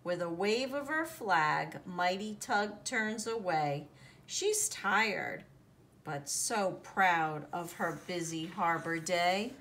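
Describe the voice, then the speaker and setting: A woman reading a children's picture book aloud in an expressive, deliberate storytelling manner, drawing out the sound words. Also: lowish voice. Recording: noticeable background noise, home recording.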